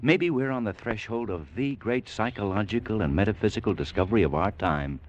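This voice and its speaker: A man's voice: on the low side, lively intonation, a little fast, dull audio. An actor playing an earnest, awestruck spaceman longing for home, in a dramatic old-time radio style. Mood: awed.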